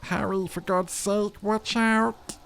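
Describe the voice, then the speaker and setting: A man's voice: high-pitched, fairly even intonation, takes it slowly, gravelly, fairly bright tone, slight background noise, voice pushed up, heavily acted. A man voicing a talking necktie in a role-playing game, a blunt, fatalistic and theatrical boozy confidant. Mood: alarmed. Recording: music behind the voice.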